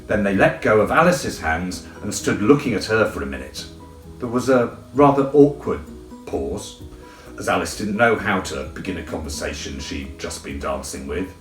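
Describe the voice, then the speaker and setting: A man narrating a children's storybook in an expressive, theatrical way. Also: low voice, moderate pace, reverberant, distant mic, faint music behind. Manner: theatrical, animated. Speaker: audiobook reader.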